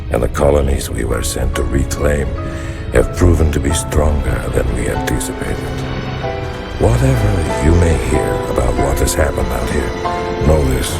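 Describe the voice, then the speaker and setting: A defeated, proud admiral in a game cutscene. His very deep voice moves slowly, heavy with weary remorse. Very loud music plays behind him. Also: creaky, exaggerated voice. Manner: solemn, weary. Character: defeated older admiral, grave, remorseful, broken by pride. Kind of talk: game cutscene farewell narration.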